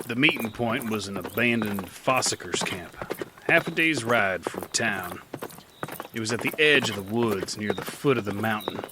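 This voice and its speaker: A man's voice: fairly low pitch, animated, moderate pace, noisy background, natural voice. A man narrating and playing a rugged, weary gunslinger in an audio drama, with a dry, terse delivery. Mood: steady. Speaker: narrator and lead.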